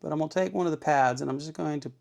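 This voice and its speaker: A man's voice: a little high, rapid-fire, gravelly, darkish tone. A man giving an informal hands-on tutorial as host, in a folksy, reassuring way, repeating his points plainly. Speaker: host of an electronics kit-building tutorial.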